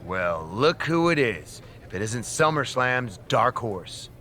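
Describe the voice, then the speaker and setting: A man's voice: medium pitch, very animated, slow pace, bright, forceful tone, slight background noise, full character voice. A man playing a smug, scheming wrestling manager in a video game, condescending, needling and theatrical. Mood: mocking. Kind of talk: scripted video game cutscene acting.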